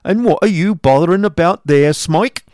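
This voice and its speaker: A man playing a loud, testy schoolmaster. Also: fairly high pitch, big pitch swings, normal speed, no room sound, studio quality, voice pushed up. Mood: testy hectoring. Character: coarse bullying middle-aged male schoolmaster.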